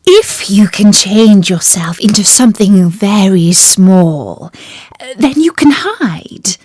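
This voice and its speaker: A woman's voice: mid-range voice, animated, takes it slowly, a little mellow, husky, no room sound, clean studio sound. A woman voicing a clever, polite male cat in a storybook, speaking courteously and persuasively.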